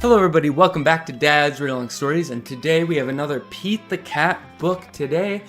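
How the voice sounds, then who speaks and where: A man's voice: on the high side, fast talker, music in the background. A man hosting read-aloud storytelling for children, reading expressively in simple phrases and chatting with listeners. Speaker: host reading children's picture books aloud.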